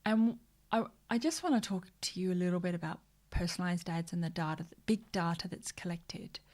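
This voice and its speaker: A woman hosting a social media news livestream. She talks casually, slips into joking rants, and mutters quiet asides to herself while she looks for things on screen. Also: fairly low pitch, brisk.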